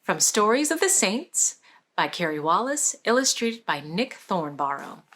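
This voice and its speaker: A woman reading a storybook aloud for children, with expressive, deliberate phrasing. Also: medium pitch. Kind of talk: scripted storybook narration for children.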